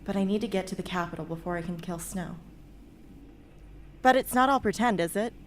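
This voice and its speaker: A woman's voice: mid-range voice, fairly quick, natural voice. A woman playing a determined, defiant teenage girl soldier in an amateur radio drama. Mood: defiant, wry. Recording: faint background noise and ambience.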